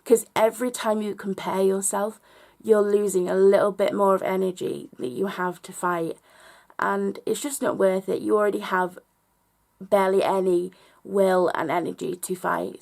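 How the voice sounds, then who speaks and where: A woman vlogger with a slightly hoarse voice, talking earnestly and reflectively straight to her viewers. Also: mid-range voice, flat delivery, moderate pace, a little mellow. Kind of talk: personal vlog monologue.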